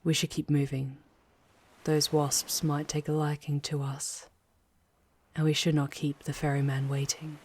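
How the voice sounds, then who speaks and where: A woman playing a charming, poised female demon guide in an intimate ASMR roleplay, speaking in a measured way with breathy, hushed touches. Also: sits low, flat delivery. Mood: pensive, gentle. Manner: measured, deliberate, often dropping into breathy whispers.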